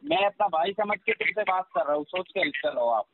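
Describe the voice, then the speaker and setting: A man's voice: high voice, lively intonation, normal speed. A man arguing on a phone call in an insistent, repetitive and pressuring way. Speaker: caller pressuring the other party. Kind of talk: casual phone argument. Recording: noisy, tinny telephone line with clicks.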